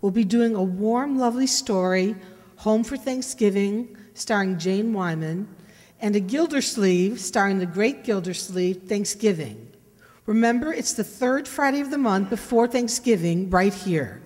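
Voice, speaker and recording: A woman's voice: mid-range voice, a little slow, a little mellow. An older woman reading a scripted announcement to an audience from a stage. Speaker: director announcing next show. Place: large hall stage, indoors. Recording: reverberant hall.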